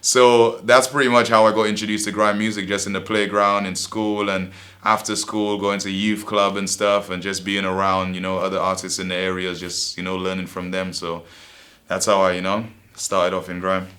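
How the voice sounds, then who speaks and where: A man's voice: low-pitched, little pitch movement, normal speed, sharp tone. A young man from Saint Lucia with a Saint Lucian and East London accent, a rapper chatting in a laid-back, rambling way in an interview. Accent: Saint Lucian mixed with East London. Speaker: rapper and songwriter, guest. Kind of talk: casual interview talk.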